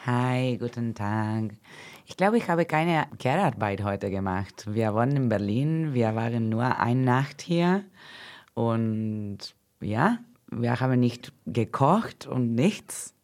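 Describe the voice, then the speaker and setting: Very low-voiced Brazilian woman, slow German. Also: lively intonation, darkish tone. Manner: simple non-native German, slips into English words. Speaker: guest, activist.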